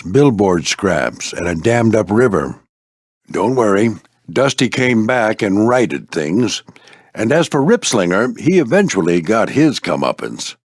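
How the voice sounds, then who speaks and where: An old veteran warplane for an animated video game. He is a gruff but fatherly squadron mentor whose pitch moves up and down freely. His talk is folksy with clipped military turns, and the recording is dry and close. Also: dry recording. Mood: storytelling. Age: older.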